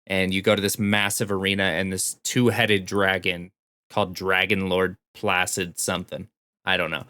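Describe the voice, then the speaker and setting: A man's voice: low voice, little pitch movement, normal speed, pushed and bright, smooth, no room sound. A man co-hosting a casual gaming podcast, telling stories in an informal, enthusiastic way. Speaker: podcast co-host. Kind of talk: casual chat about video games.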